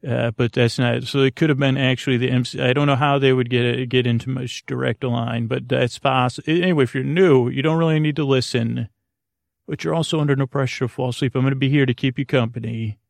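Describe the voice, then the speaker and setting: Creaky-voiced man rambling through a bedtime podcast. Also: mid-range voice, fairly even intonation, no echo, studio quality. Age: 40s. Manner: halting, meandering, stumbling over his own sentences. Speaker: podcast host. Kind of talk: rambling improvised bedtime monologue.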